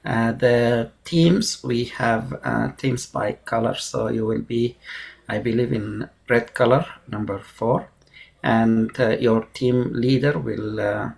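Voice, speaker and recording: A man with a gravelly, creaky voice hosts an online meeting. He talks casually and hesitantly, leaning on fillers. His voice comes through a video call. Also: mid-range voice, steady tone, slow pace, darkish tone. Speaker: meeting host.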